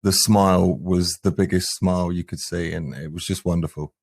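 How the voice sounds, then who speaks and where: A man's voice: low voice, steady tone, moderate pace, creaky, dry recording, clean studio sound. A middle-aged man, talking earnestly and thoughtfully as a musician being interviewed. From England. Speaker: band singer and guitarist, interview guest. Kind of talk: reflective interview talk.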